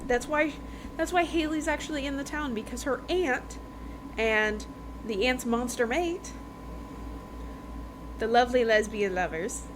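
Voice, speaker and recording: A woman's voice: a little high, unhurried, long pauses, background noise. A woman podcast host giving a casual, chatty book review in an informal, emphatic way, repeating phrases for effect.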